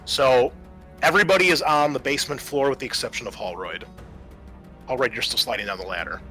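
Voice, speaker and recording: A man's voice: medium pitch, a little fast, bright, forceful tone. A man narrating a tabletop roleplaying game as game master, improvising descriptions and explaining the rules, with music behind him.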